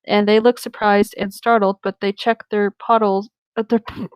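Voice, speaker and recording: A woman's voice: mid-range voice, flat delivery, moderate pace, clean tone, no echo. A woman reading a story aloud in a casual way, hesitantly breaking off for apologetic asides. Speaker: reader and commentator on a comedic fan fiction reading.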